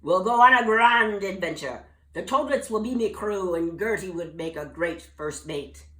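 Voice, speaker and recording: A woman plays a gruff old storybook sea captain who blusters and booms. His voice is bright and pushed, and it echoes in the room. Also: expressive, moderate pace. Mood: hearty. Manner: loud, blustery, piratey.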